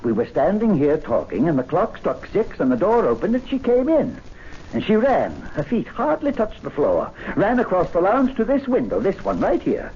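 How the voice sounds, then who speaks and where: Wildly swooping, excitable gentleman. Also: high voice, normal speed, husky, full character voice. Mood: feverish rage. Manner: theatrical, impassioned. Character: excitable, domineering gentleman, obsessively rapturous and quick-tempered. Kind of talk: old-time radio drama acting. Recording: dull hissy vintage radio recording.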